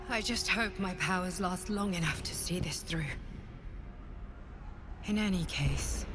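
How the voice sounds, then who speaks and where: A woman playing a weary, solemn psychic guardian in a video game, grave and earnest with a hushed, breathy edge. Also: on the low side, background noise, lightly acted. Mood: weary, strained. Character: weary, solemn psychic female guardian bearing a heavy burden. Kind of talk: dramatic video game acting.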